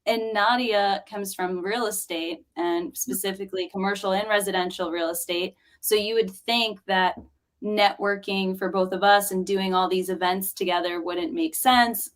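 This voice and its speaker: A woman from Brazil, a guest in a casual livestream chat over a video call, speaking in a brisk, matter-of-fact way. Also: medium pitch, fairly even intonation.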